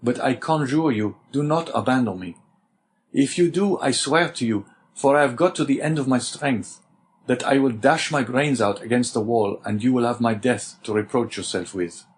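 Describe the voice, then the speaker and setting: A man's voice: medium pitch, normal speed, a little hiss or hum, pitched above the performer's own voice, close to an everyday voice. A man doing a desperate young prisoner. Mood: pleading. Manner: pleading, emotional. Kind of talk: audiobook character reading.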